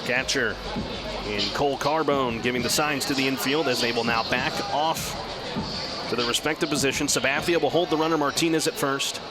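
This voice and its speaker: A man working as a radio play-by-play announcer, giving live baseball commentary in a measured, descriptive way that lifts with the action. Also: mid-range voice, fairly even intonation, over loud music. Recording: clear outdoor ballpark background noise. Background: outdoor stadium ambience.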